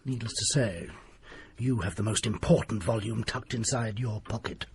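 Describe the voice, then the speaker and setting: A desperate secretive country doctor. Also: male voice, lowish voice, normal speed, creaky, a little hiss or hum. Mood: grim, hushed. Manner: grave, hushed storytelling. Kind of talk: period radio drama acting.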